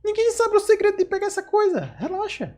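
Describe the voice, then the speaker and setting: A man's voice: very high-pitched, sing-song, rapid-fire, a little mellow. A Brazilian man giving casual let's play commentary as a gaming host, with a Brazilian Portuguese accent.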